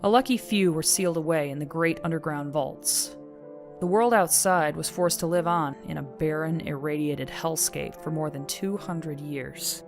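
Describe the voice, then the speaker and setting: A woman performing grave, measured narration as the solemn storyteller of a post-apocalyptic audio drama. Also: low voice. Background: music.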